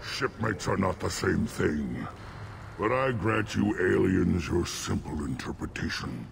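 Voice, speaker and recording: A man playing an ancient, imperious alien shaman in a video game, solemn and commanding, with a gravelly voice. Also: deep voice, animated, a little slow, a little hiss or hum, exaggerated voice. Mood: stern, measured. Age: older. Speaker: clan shaman. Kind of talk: video game cutscene acting.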